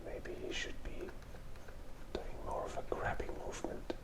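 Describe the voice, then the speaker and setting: Slow ASMR whisperer, sex unclear. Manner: soft whispering, hesitant pauses. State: has a cold. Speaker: ASMR host and illustrator. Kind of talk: whispered ASMR drawing commentary. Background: drawing tablet and keyboard sounds.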